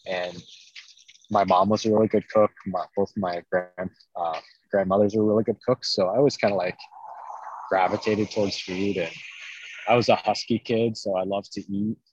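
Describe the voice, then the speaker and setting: Husky-voiced male chef from Iowa. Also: fairly low pitch, steady tone, moderate pace, darkish tone, no room sound, highs cut off. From central Iowa. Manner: easygoing storyteller, many ums and likes. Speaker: private chef, podcast guest. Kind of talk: casual interview chat.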